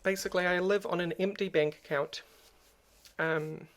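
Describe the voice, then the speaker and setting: Crackly, flat, hesitant New voice. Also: medium pitch, moderate pace. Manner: subdued, hesitant, frequent ums. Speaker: YouTuber. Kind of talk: personal vlog talk to camera.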